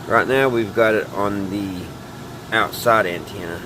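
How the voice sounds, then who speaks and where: A man's voice: fairly low pitch, a little slow. A man with a Southern US accent talking casually and plainly through a hands-on repair video, folksy in manner. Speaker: host of a radio repair video. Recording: steady background hum and radio noise.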